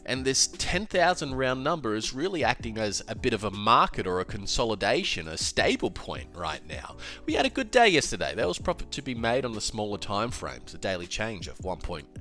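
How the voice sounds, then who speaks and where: Man, a bit nasal and clogged up, chatty and emphatic, low voice. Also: fairly quick, faint music behind. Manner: animated, emphatic, with filler and restarts. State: congested, slightly nasal. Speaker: crypto trading educator. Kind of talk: casual solo video talk.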